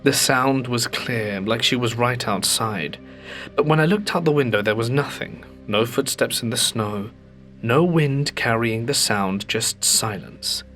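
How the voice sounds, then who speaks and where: A man reads ghost stories aloud as the host of a storytelling channel. His voice sits at an ordinary middle pitch, and his delivery is calm and measured with slowed, dramatic pauses. Soft music plays faintly behind him.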